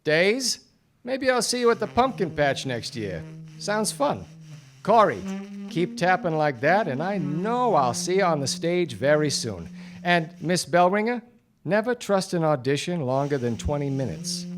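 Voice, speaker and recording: An actor playing a shrewd, courteous 1940s private detective in a live radio play, explaining things in a dry, measured, theatrical way. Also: mid-range voice, sing-song. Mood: kindly wry. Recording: live stage recording.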